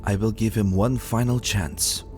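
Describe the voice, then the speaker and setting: Man voicing Celestia, a soft, sorrowful pony princess. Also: lowish voice, fairly even intonation, measured pace, faint music behind, lightly acted. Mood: pleading. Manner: soft, pleading. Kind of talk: dramatic fan-fiction reading.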